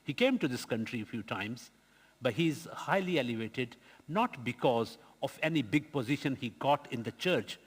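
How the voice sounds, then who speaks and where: Male preacher, earnest storytelling, mid pitch, church reverb. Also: expressive, slightly rough. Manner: earnest storytelling with halting restarts. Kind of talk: sermon.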